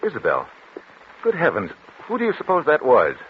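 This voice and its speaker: An actor playing a troubled, solemn doctor in a formal, deliberate 1940s radio drama style. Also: male voice, mid-range voice, wildly swooping pitch. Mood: animated, explaining. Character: troubled, solemn male doctor and psychiatrist narrating his confession. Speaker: actor, narrator and lead. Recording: dull, noisy 1940s radio recording.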